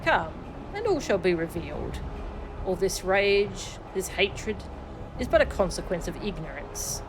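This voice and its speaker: A woman voicing a cold, condescending sorcerer father from a fantasy game, in archaic, measured speech. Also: mid-range voice, big pitch swings, background noise. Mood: cold, contemptuous. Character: haughty, scornful male sorcerer father, cold and taunting. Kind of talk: theatrical read-aloud of fantasy game dialogue. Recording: music behind the voice.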